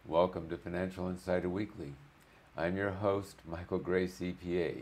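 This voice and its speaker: Man, interview host, extremely low voice, hoarse from a cold, chatty, frequent fillers. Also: little pitch movement, moderate pace, a little mellow. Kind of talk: interview show hosting.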